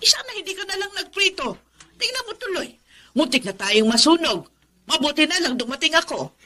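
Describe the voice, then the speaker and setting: Casting: nagging middle-aged aunt for radio drama, exclamatory, high bright voice. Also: female voice, sing-song, a little fast, full character voice. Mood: scolding. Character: fussy, scolding middle-aged aunt, easily exasperated.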